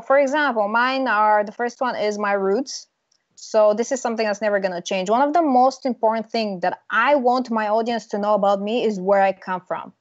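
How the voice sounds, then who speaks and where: A young woman from Bulgaria gives confident, emphatic advice as an interview guest over a video call. Her voice sits fairly high, and the sound is dry and dull, lo-fi call audio from a small room. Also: no room sound. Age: young adult. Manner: confident, emphatic, laughs now and then. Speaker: guest, branding consultant. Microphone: earbud mic on video call.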